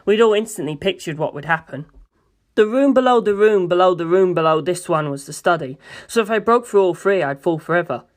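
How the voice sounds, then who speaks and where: A narrator with a mid-pitched voice reads a novel aloud in the first person. The delivery is that of scripted storytelling, carried line by line as an amateur audiobook. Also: lively intonation, brisk.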